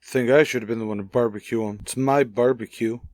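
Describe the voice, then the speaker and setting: An actor playing a grumpy, exasperated middle-aged boss and husband in an old radio sitcom. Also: male voice, medium pitch, steady tone, a little fast, a little mellow, dry recording, natural voice. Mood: grumbling. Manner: exasperated, blustering. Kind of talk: 1950s radio sitcom acting.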